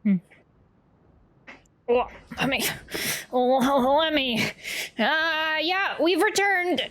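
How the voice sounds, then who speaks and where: A woman's voice: high-pitched, very slow, fairly bright tone. A woman streaming a game with casual, playful reaction commentary, chatting to the characters as she plays. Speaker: gaming streamer.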